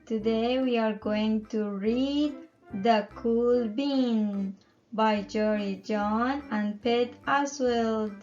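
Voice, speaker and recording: A woman with a high voice reads a children's picture book aloud in an expressive story-time style. The recording is slightly muffled, and music plays behind her narration. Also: drawn-out words. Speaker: children's story time reader and channel host. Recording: muffled audio under music.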